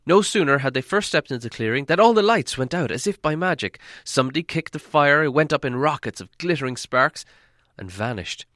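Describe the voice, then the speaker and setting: A man narrating a storybook from a script in a dramatic, expressive storytelling manner. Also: mid-range voice, no room sound, studio quality. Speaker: audiobook narrator.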